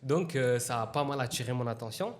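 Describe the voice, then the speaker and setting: A man in his twenties, a guest from Italy, telling a story in a relaxed, slangy way in a casual interview. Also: mid-range voice, fairly quick. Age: 20s. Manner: relaxed anecdotal telling, slangy, with acted quotes.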